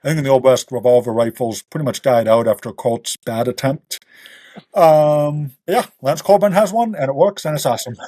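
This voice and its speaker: A man's voice: medium pitch, normal speed, dark tone, no room sound. A man talking as a guest author, explaining details step by step in an informal podcast chat.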